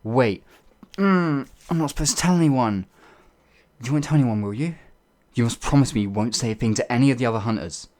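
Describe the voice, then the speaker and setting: A man voicing a shy, gentle werewolf in an ASMR audio roleplay, hesitant and halting, with a breathy, gravelly voice that often drops toward a whisper. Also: mid-range voice, natural voice. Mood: panicked, pleading. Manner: hesitant, timid, halting, with sighs and trailing phrases. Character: shy, gentle, bumbling pacifist male werewolf, older than he looks.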